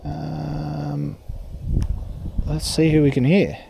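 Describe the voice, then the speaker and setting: A man's voice: fairly high pitch, flat delivery, very slow, vocal fry, warm tone, a little hiss or hum. A man giving casual, distracted running commentary, thinking aloud as he operates an amateur radio.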